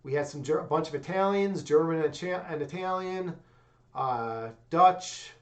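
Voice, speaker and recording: A young man casually chatting with viewers on his livestream in a loose, excitable way, swearing freely and peppering his talk with "dude" and "man.". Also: a little high, measured pace. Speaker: livestream host.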